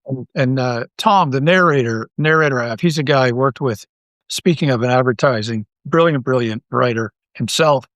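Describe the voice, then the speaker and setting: A man from Canada with a somewhat high voice, recorded very dry. He talks casually as a guest being interviewed, telling things in an animated, rambling way and often repeating a phrase before moving on. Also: no room sound. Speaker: interview guest, audio drama writer.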